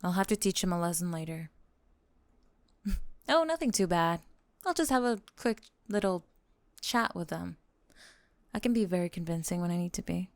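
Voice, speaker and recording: A woman playing a doting, possessive lamia college roommate in an ASMR roleplay, speaking softly and coaxingly, close to the microphone. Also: fairly low pitch, animated, dry recording. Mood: caring, quietly menacing. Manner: coaxing, doting, breathy pauses with sighs and soft giggles. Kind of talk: ASMR roleplay acting, spoken to the listener. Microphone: close microphone in a dry space.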